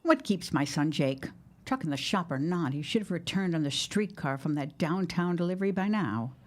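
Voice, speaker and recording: No-nonsense matriarch shopkeeper female actor for audio drama, slightly low, animated, brisk and bossy. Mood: stern, nagging. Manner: stern, dry, no-nonsense. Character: brisk, bossy middle-aged shopkeeper mother.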